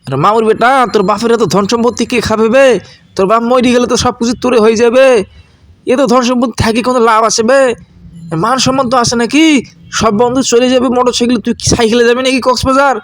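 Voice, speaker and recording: A man's voice: very high-pitched, flat delivery, fast, dry recording. A man voicing a cheeky, mocking village friend in a comedy cartoon, slangy, teasing and goading.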